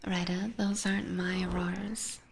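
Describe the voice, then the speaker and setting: A woman hosting an ASMR livestream, chatting with viewers in a soft, breathy whisper close to a binaural microphone. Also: fairly low pitch, little pitch movement, slow, slight background noise. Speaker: livestream host.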